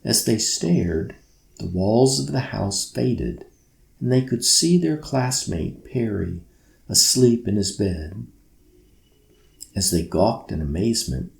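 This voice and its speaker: A man reading a children's story aloud as scripted narration, in a measured, gentle bedtime manner. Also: fairly low pitch, gravelly. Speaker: author reading own story. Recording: roomy, echoey sound.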